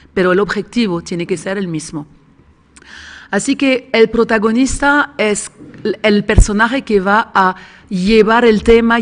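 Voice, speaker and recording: Woman speaking careful second-language Spanish. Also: medium pitch. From Switzerland. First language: not Spanish. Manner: searching for words with hums and restarts. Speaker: screenwriter and script doctor. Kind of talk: panel talk to an audience. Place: conference room with audience.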